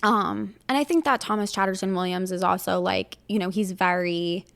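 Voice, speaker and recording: A woman with a noticeably creaky voice talks as a podcast co-host. Her delivery is casual and halting, with "like" sprinkled through her sentences. She sounds like someone thinking aloud in conversation. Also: medium pitch, fairly even intonation, fairly bright tone. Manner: halting, heavy use of "like". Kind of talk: casual conversational political chat.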